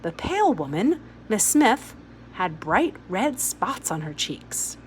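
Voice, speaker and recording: A woman's voice: mid-range voice, very animated, slight background noise, poor audio. A woman reading a story aloud expressively, as a teacher would for pupils. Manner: instructive, pausing to point out details.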